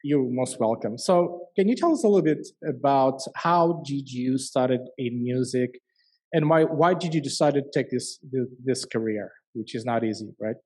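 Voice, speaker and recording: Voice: mid-range voice, lively intonation, normal speed, a little mellow. A show host interviewing a guest over a video call, often restarting phrases. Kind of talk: interview questions.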